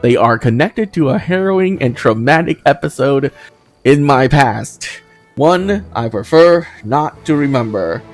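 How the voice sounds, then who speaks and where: A man's voice: medium pitch, expressive, unhurried, faint music behind, natural voice. A man voicing a formal, earnest crusader knight of wounded dignity in a video game, with courteous, ornate phrasing. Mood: pained dignity.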